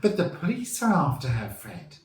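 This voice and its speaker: Man voicing a gentle, worried hyena mum, lifted pitch. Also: sing-song, moderate pace, echoey room, distant mic, slight background noise. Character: gentle, anxious hyena mother and wife.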